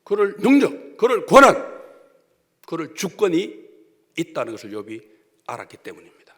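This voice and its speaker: Lively Gyeongsang-accented man preaching in a hall. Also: sits high, normal speed. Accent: Gyeongsang Korean. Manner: emphatic preaching with sharp swings between loud and hushed. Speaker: pastor. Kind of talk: sermon. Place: church sanctuary. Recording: long church hall reverb.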